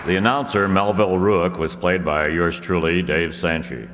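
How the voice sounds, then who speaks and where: A man playing a vintage radio announcer, reading scripted copy in a measured, formal manner. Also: deep voice, steady tone, a crowd in the background, lightly acted. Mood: formal, genial. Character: smooth 1930s radio announcer selling soap. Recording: echoey hall, noisy audience room.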